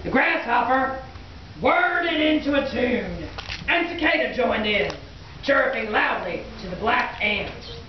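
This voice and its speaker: A woman's voice: on the high side, animated, a little slow, slight background noise. A woman telling a story to children at a live puppet show, theatrical in how she draws out and repeats words. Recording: echoey room, distant dull mic, crowd. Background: audience of children.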